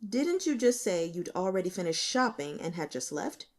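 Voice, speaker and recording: Audiobook role: woman plays male investigator, stern, insistent, probing, expressive delivery. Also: fairly low pitch, moderate pace. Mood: sharp, pressing. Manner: insistent, pointed questioning. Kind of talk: audiobook character dialogue.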